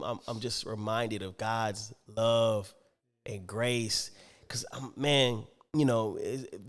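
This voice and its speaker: A man speaks in a mid-range voice, slangy and excitable. He jumps up into his quoted voices while he tells his story. A long reverb hangs behind him, as if in a large hall. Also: medium pitch. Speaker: podcast host. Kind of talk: casual storytelling chat.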